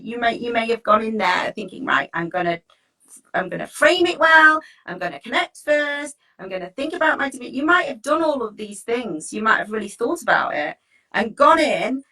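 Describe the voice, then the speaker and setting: A woman with a fairly high, bright voice talks like an informal coach. She is encouraging and chatty, often seeking agreement with small tag questions. The microphone sits at a distance from her. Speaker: teacher wellbeing coach leading a talk.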